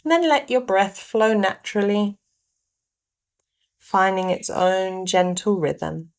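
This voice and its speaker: A woman guiding a meditation, giving gentle instructions in short phrases with pauses between them. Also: mid-range voice. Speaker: meditation guide. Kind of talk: guided meditation narration.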